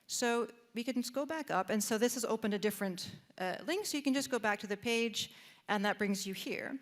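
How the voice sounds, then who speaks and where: A woman's voice: fairly high pitch, a little fast. A woman with a Canadian accent presenting at a conference, guiding the audience through a website with frequent fillers and restarts. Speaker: presenter demonstrating a database. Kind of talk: live panel presentation, guiding a screen walkthrough. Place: conference room.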